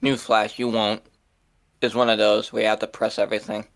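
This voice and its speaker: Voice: medium pitch, flat delivery, brisk, gravelly, soft background music. A game streamer chatting casually and jokingly, with informal, sweary speech.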